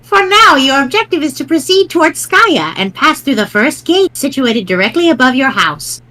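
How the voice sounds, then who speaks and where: A woman's voice: sits high, sing-song, normal speed, pushed and bright, full character voice, poor audio. A woman voicing a sweet old grandmother ghost in an amateur webcomic reading, riddling and doting in a theatrical, instructive way. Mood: cryptic, doting.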